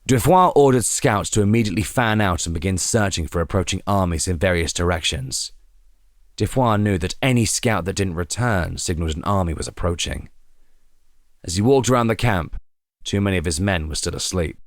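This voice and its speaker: A man narrating a scripted story in a dramatic, expressive and suspenseful way. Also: deep voice, a little fast, dry recording, clean studio sound.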